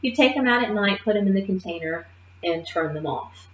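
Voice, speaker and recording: A woman's voice: mid-range voice, lively intonation, fairly quick, noisy background. Woman, nursing assistant instructor, emphatic classroom lecture, keeps checking in with "okay?"; muffled, echoey room sound. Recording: muffled roomy classroom recording.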